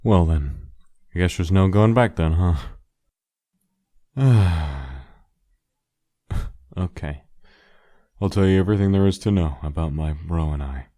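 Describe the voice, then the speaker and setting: A man voicing a weary, guilt-laden skeleton joker in a dramatic fan comic dub, telling his story in a halting, wistful way. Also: low-pitched, mellow. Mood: reluctant, wry.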